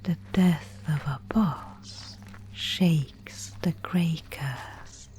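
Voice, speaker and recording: A woman performs a mysterious maiden who guides lost souls in a dark fantasy audio roleplay. Her breathy whisper is low and mellow, with a solemn, hushed manner. She speaks extremely slowly, leaving deliberate pauses. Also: heavily acted. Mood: ominous, guiding. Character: mysterious female Spindlemaid guide in a gloomy dark fantasy realm.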